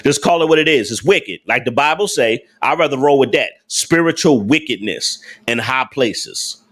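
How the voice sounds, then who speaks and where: A man's voice: mid-range voice, animated, moderate pace, a bit bright. Guy on a live stream, slangy and emphatic, keeps hammering the same question at chat. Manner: slangy informal speech. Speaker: livestream host. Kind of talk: casual livestream talk to viewers.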